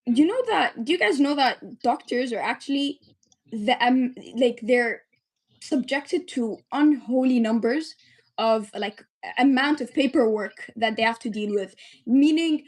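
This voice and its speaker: A woman's voice: a little high, expressive, a little slow, a little mellow. A young woman in her twenties from the UAE, a medical student telling her founder story as a podcast guest, earnest and chatty with many fillers.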